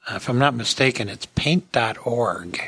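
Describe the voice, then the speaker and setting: A man's voice: mid-range voice, expressive, moderate pace, a bit bright, slightly rough. A man hosting a podcast with casual industry commentary, speaking to listeners in a folksy way. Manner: folksy, addressing listeners as friends.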